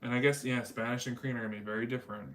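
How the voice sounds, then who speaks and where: A man talking in a relaxed, rambling way as he hosts a casual livestream, filling his sentences with small asides. His voice sits at an ordinary middle pitch for a man. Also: racing, low-quality recording. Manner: rambling and chatty, many fillers. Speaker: livestream host reading chat. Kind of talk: casual chat.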